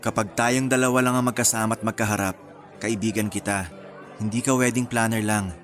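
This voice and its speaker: A man's voice: lowish voice, steady tone, brisk, a bit bright, soft background music, close to an everyday voice. An actor playing a teasing, smitten engaged man in his thirties in a romantic radio drama. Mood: tender, flirtatious. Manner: playful, flirtatious teasing.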